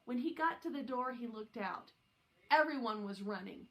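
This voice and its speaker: A woman's voice: fairly high pitch, animated, moderate pace, low-quality recording. A woman narrating a children's novel aloud as a scripted reading. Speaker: audiobook reader.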